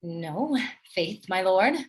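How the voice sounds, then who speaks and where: An actress playing a gentle, trusting young noblewoman and wife, speaking earnest, courteous lines in a Shakespearean radio play. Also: medium pitch, animated, takes it slowly, husky. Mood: anxious.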